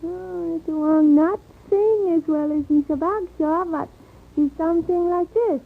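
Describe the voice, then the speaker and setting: A naive hotel maid with a tiny, extremely high voice. She speaks slow, polite, singsong pidgin and sounds fretful, on a tinny old western radio recording. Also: vocal fry, full character voice. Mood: anxious, polite. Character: naive, fretful young Chinese hotel maid, Miss Wong. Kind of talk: old-time radio drama acting.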